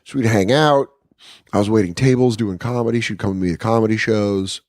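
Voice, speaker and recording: A man's voice: lowish voice, brisk, darkish tone, no room sound, clean studio sound. A man in his thirties, a comedian guest, telling candid, profane stories in casual podcast chat from an apartment. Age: 30s. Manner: candid, profane storytelling with acted-out quotes.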